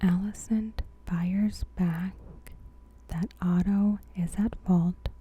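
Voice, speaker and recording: A woman softly whispering a scripted recap in ASMR style, breathy and gentle, with deliberate pauses. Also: on the low side. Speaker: ASMR host.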